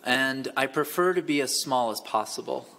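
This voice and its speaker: A man introducing his work on a conference panel in a measured way. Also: medium pitch, vocal fry, pushed and bright.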